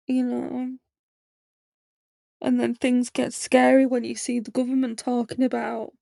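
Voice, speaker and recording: A young woman in her late twenties hosts a podcast, telling her own story candidly in a loose, rambling way full of fillers. Her fairly high voice has a gravelly fry and stays flat in pitch. The sound is close and dry. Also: no echo. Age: 20s. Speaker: podcast host. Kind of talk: personal monologue.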